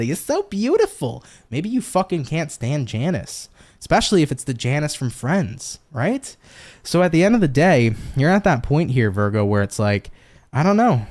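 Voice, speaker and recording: A man's voice: mid-range voice, a little mellow. A man with a New York accent giving a casual live-stream tarot reading, chatty and swearing freely as he talks straight to viewers. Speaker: tarot reader.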